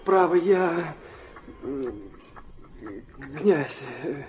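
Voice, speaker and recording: A man's voice: on the high side, very slow. An actor playing a proud, touchy young man in a radio play, earnest, abrupt and stiffly formal. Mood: awkward, defiant. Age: young adult. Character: proud, touchy, earnest youth of about twenty.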